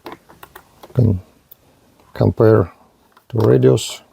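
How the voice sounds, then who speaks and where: A man giving a hands-on product demonstration in halting short phrases, with non-native English phrasing. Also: on the low side, steady tone, vocal fry, warm tone, husky. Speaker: radio reviewer.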